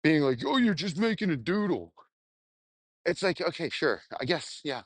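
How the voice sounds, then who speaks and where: A man talking casually to his audience in a rambling, self-deprecating spoken journal, halting and crudely sweary. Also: a little high, very animated. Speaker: vlogger.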